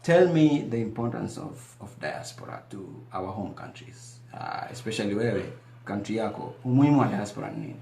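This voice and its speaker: A man hosting a TV studio interview, speaking haltingly with many fillers and restarts. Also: lowish voice, vocal fry, mellow, echoey room. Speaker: TV show host. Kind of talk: hosting an interview, switching between English and Swahili.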